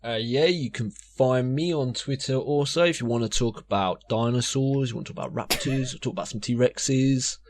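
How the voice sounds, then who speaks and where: A man's voice: medium pitch, moderate pace, hardly any pauses. A man chatting casually and playfully as a panelist on a podcast. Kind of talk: casual chat.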